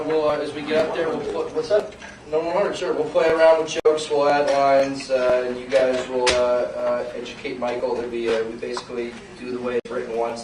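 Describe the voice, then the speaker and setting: A man reads stage directions at a table read in a matter-of-fact tone. He is picked up from far off in a roomy, echoing space with clear background noise. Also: mid-range voice. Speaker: table-read narrator and show crew member. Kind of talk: reading stage directions aloud. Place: large room at a table read. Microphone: distant room mic. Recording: echoey room from far off, hissy noise.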